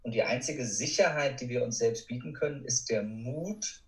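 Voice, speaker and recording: Casting: man as motivational podcast guest, earnest conversational delivery, clearly roomy sound, slightly far from microphone. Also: mid-range voice, moderate pace. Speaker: podcast guest, coach and speaker. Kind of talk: conversational interview answers, motivational. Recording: roomy echo, distant from mic.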